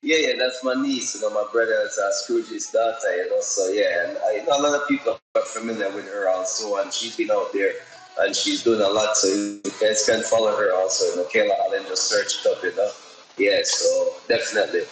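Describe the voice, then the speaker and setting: A man's voice: lowish voice, fairly even intonation, a little fast, roomy sound, soft background music, poor audio. A man on the radio listing local artists, hesitant, with many fillers.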